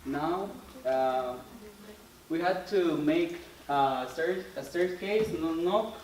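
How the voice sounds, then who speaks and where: Young guy student explaining his project, slow n unsure, echoey room w/ noise behind. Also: a little high, darkish tone. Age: young adult. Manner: hesitant, searching for words. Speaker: student presenter. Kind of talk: unscripted poster presentation. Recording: echoey, noisy room, distant mic.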